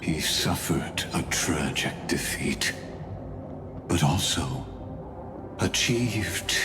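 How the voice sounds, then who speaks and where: An actor playing a grave, cryptic, scheming scholar in a video game, speaking deliberately and portentously with theatrical pauses. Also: male voice, sits low, exaggerated voice. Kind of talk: dramatic video game voice acting.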